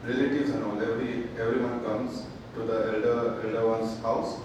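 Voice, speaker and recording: A man's voice: medium pitch, flat delivery, normal speed. A young man from West Bengal, an audience member telling a casual anecdote and using "like" often. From West Bengal, India. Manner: informal, uses "like" as a filler often. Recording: echoey room, distant from the mic, audible noise.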